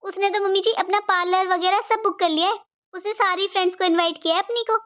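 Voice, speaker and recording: A woman's voice: very high-pitched, fairly even intonation, fast talker, a bit bright. A woman voicing a devoted, respectful young sister-in-law in a cartoon family drama, speaking politely and emphatically with repeated phrases. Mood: eager, reassuring.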